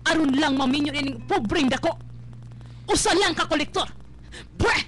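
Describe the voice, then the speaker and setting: A woman's voice: sits high, normal speed, a bit bright. A woman acting a haughty rich mother who sneers at the poor, in melodramatic Cebuano-accented radio drama. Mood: indignant scolding. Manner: scornful, sneering. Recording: faintly noisy live radio capture, humming.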